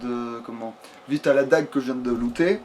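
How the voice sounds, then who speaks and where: A man's voice: mid-range voice, brisk. A man giving casual gaming commentary, muttering to himself hesitantly and sometimes swearing. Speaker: let's play gamer. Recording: steady background noise.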